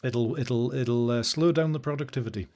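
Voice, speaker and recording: A man's voice: mid-range voice, normal speed, a little mellow. A man hosting a live stream, chatting casually and rambling while he edits photos, often laughing and answering comments from chat. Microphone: new studio microphone.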